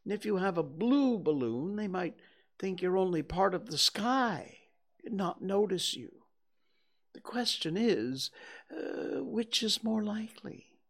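A storyteller voicing a gentle, slow-witted male toy bear who talks slowly and stammers. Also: mid-range voice, animated, gravelly, voice pushed up. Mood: ponderous. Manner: slow, ponderous, hesitant with stammers. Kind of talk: storybook reading.

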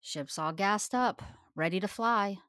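A woman's voice: medium pitch, unhurried, a bit bright, no echo, studio quality, close to an everyday voice. A woman playing a tough, blunt, regretful woman of the space underworld, confrontational, in a sci-fi audio drama. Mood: wry.

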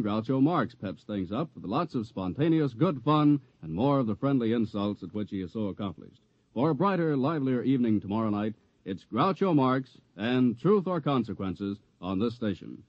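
A man's voice: medium pitch, no room sound. A man reading a scripted 1950s radio promo as a network announcer, with polished, upbeat salesmanship. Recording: dry, muffled old radio recording.

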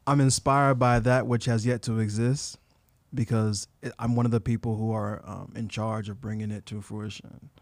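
A man's voice: fairly low pitch, little pitch movement, normal speed, no room sound. A man speaking as a podcast guest, earnest and reflective, with frequent fillers. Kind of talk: casual conversation.

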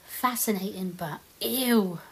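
A woman's voice: medium pitch, slow pace, slightly rough. A woman playing a dry, snarky apocalypse survivor in a comic audio drama. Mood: dry disgust. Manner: sarcastic, deadpan.

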